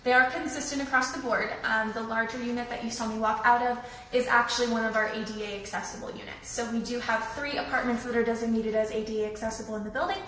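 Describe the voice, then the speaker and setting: A young woman with a slightly raspy voice from a cold, giving a friendly, chatty live tour of an apartment building. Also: on the high side, steady tone, quick pace, a bit bright, slight background noise. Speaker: leasing tour host. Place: echoey empty indoor rooms. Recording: echoey room from far off.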